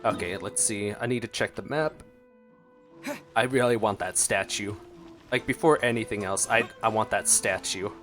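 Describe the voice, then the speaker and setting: A man giving casual, expressive gameplay commentary, talking to himself playfully as he plays. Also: on the low side, moderate pace. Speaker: gaming streamer. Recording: game music behind voice. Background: video game music.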